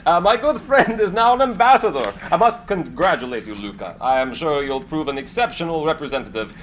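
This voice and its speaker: Male actor as eccentric inventor, excitable, courtly, higher-pitched, swooping intonation, stage script reading. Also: a little fast, slight background noise. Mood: merry. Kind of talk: live dramatic script reading.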